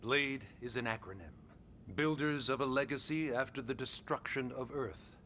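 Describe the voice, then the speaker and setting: An actor playing a composed, authoritative middle-aged military commander in a video game cutscene, speaking in a measured, deliberate way with weighty pauses. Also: male voice, medium pitch, bright, forceful tone, a little hiss or hum, close to an everyday voice. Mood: explaining, resolute. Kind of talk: video game cutscene acting, expository dialogue.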